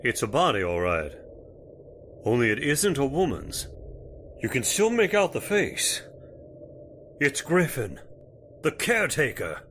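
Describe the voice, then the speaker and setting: An actor as a folksy judge, swooping intonation. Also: male voice, mid-range voice, sing-song, a bit bright, a little hiss or hum. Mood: grave. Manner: folksy, deliberate, hesitant politeness. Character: Judge Foster, folksy, genial, steady older man. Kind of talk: old-time radio horror acting.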